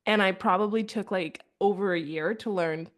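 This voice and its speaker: A woman's voice: mid-range voice, moderate pace. A woman talking casually as a podcast guest, chatty with lots of fillers. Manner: chatty, filler-heavy, laughs often. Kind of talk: casual conversation.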